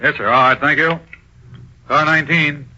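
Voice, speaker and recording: Dutiful radio-drama police dispatcher, clipped and official, sharp husky voice, muffled vintage recording. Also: male voice, fairly high pitch, fairly even intonation, moderate pace. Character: dutiful, efficient police dispatcher at headquarters desk.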